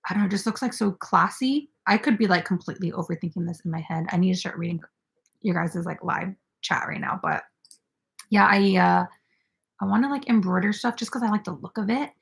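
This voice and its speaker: A woman's voice: mid-range voice, a little fast, vocal fry. A young woman hosting a casual crochet live stream, chatting with viewers and filled with "like" and "um". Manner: chatty, filler-heavy, reads viewer comments aloud.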